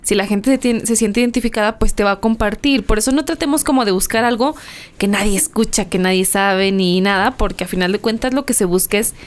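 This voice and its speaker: Chatty woman podcast guest. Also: mid-range voice. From Mexico. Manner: chatty, quick to agree, uses slang. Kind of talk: casual podcast chat.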